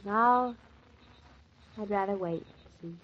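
An actress in a 1940s radio drama playing a playful, tender young newlywed wife, with a bright, teasing and dreamy way of talking on an old transcription. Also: female voice, mid-range voice, takes it slowly, dull audio, slight background noise, lightly acted. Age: young adult.

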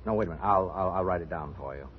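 An actor playing an uneasy, halting, polite studio executive in a 1950s radio drama. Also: male voice, sits low, husky. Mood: nervous, guarded. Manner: hesitant, stammering, nervously polite. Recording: muffled, lo-fi old radio recording.

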